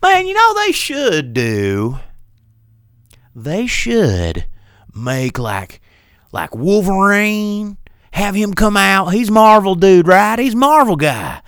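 A man mimicking an excitable, clueless male movie fan in a whiny, insistent, high-pitched voice. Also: slow, smooth, no room sound, studio quality, full character voice. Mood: eager whining.

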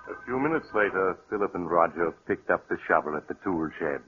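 A man's voice: deep voice, animated, brisk, narrow, tinny audio, soft background music. A man giving scripted narration for a 1940s radio mystery. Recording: noisy, thin vintage radio recording.

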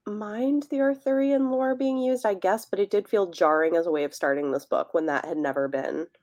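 A woman's voice: mid-range voice, normal speed, darkish tone. A woman co-hosting a podcast, discussing books casually in a tentative, meandering, conversational way. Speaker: podcast co-host.

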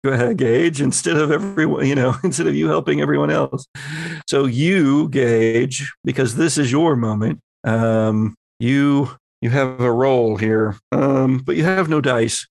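A man's voice: mid-range voice, measured pace, creaky, darkish tone. A man acting as game master, narrating a horror tabletop roleplaying game in a conversational, improvised way. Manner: conversational, improvising scene descriptions.

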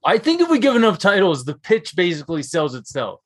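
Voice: medium pitch, huge pitch swings, brisk. Musing writer voice, swoops up and down a lot. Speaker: screenwriter in a comedy scene. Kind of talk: improvised comedy scene.

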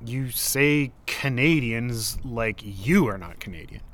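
A man's voice: medium pitch, slow, a bit bright. An actor playing a proud, defensive Canadian man in a naturalistic audio drama, earnest and argumentative, well-meaning but condescending. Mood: defensive, conciliatory.

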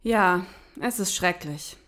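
A woman's voice: lowish voice, slow, a bit bright. A woman hosting a podcast, speaking scripted lines as in an audio play. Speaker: podcast host. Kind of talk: scripted podcast narration and audio drama dialogue.

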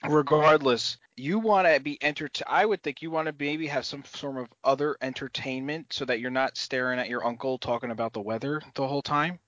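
A man chats on a podcast with a voice roughened by a cold. His tone sits mid-range, and the recording is dry and muffled. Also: mid-range voice, a little fast, hardly any pauses, a bit bright, dry recording. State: raspy from a cold. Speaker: podcast co-host. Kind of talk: casual podcast chat. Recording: dull, dry podcast audio.

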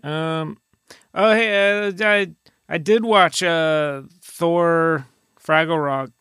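A man co-hosting a podcast, chatting casually about movies in a hesitant, deadpan way. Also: fairly high pitch, drawn-out words, clear, resonant tone, no room sound, studio quality. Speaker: podcast co-host.

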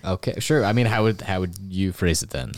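A man's voice: deep voice, a little fast, no echo. A man hosting an improvised comedy podcast, rambling, laughing often and trailing off mid-sentence. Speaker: podcast host.

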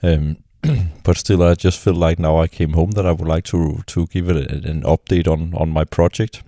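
A middle-aged man talks through his solo podcast at home, his voice husky after a weekend of singing. The tone is very low and mellow, with a dry, close sound. He thinks aloud, pausing and restarting his sentences, and faint music sits in the background. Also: steady tone, no room sound. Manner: halting, with many restarts and filler sounds. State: voice lowered and husky from a weekend of singing and late nights. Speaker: podcast host. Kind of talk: casual solo podcast monologue. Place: at home on the couch in his apartment.

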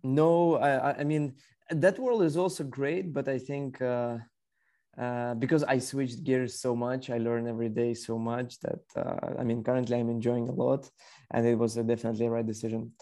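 A man's voice: medium pitch, level tone, moderate pace, warm tone. A young man from Ukraine with a Ukrainian accent, answering as an executive guest in a conversational podcast interview. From Kyiv, Ukraine.

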